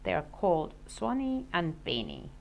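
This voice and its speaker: A woman's voice: sits low, huge pitch swings, moderate pace, creaky, lo-fi sound, slight background noise, full character voice. A woman voicing a blunt, choosy young boy who drives a hard bargain in a storybook, with punchy delivery. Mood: blunt, emphatic. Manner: emphatic, punchy listing.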